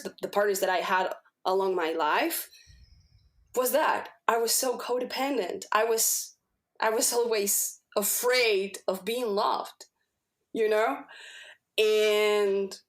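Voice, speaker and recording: A woman's voice: medium pitch, slow. Earnest woman, native Spanish speaker, sharing her story. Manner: earnest, searching for words, repeats herself. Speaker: podcast guest. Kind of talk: personal testimonial in casual conversation.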